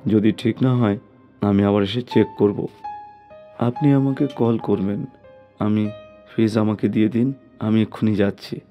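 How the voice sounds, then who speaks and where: A man voicing a brisk, money-minded family doctor in a story. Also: lowish voice, steady tone, highs cut off, faint music behind, natural voice. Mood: brisk, businesslike.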